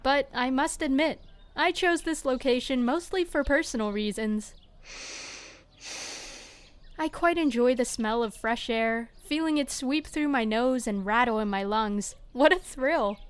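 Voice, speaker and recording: Eccentric lab spokeswoman played by a woman, high crystal-clear voice, courteous, formal and oddly literal, for scripted audio drama. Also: unhurried. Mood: serenely odd. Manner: formal, precise phrasing. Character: odd, polite laboratory spokeswoman, serenely literal and eccentric. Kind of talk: scripted audio fiction acting.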